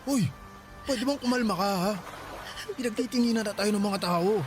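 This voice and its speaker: A man's voice: high voice, moderate pace, music in the background, lightly acted. An actor playing a careless young father and gambler, whiny and evasive, in a Tagalog radio drama. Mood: defensive, protesting. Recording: noisy street ambience with vehicles.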